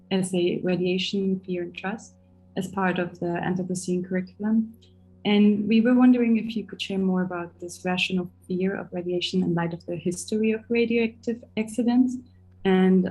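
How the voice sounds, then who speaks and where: A woman's voice: fairly low pitch, a little mellow, rough recording. Earnest woman from Germany asking questions. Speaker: student interviewer. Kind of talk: reading prepared interview questions.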